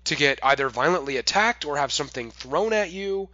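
A man's voice: fairly high pitch, animated, brisk, pushed and bright, no echo, highs cut off. A man hosting a political interview, speaking emphatically and building in intensity. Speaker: host.

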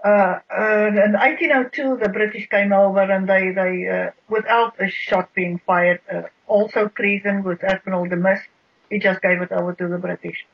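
Halting Afrikaans-accented speaker, husky, lo-fi. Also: medium pitch, steady tone. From South Africa. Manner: halting, many repeated words and fillers. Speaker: guest. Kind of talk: radio interview, explaining history. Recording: dull lo-fi radio sound.

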